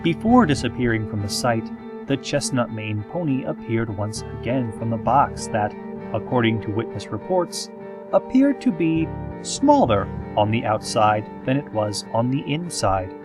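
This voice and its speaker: Male actor as small-town radio announcer for a comic horror audio show; formal, unruffled, quietly ominous, deadpan, with music behind. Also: mid-range voice, natural voice. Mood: calm, ominous deadpan. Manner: deadpan, measured, formal, with drawn-out dramatic phrases. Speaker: community radio host. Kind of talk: scripted radio show narration, parody horror-comedy podcast. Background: soft guitar music.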